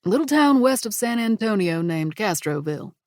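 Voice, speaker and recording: A woman's voice: medium pitch, sing-song, normal speed, dry recording, studio quality, voice pushed up, lightly acted. A woman voicing a protective Texan father, friendly and relieved, with a drawl.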